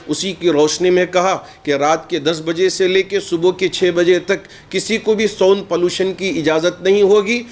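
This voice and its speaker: A man delivers a statement in the role of a cleric. His wording is formal and his manner earnest, as if addressed to the news media. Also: a little high. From India. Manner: earnest, formal Urdu-laced speech.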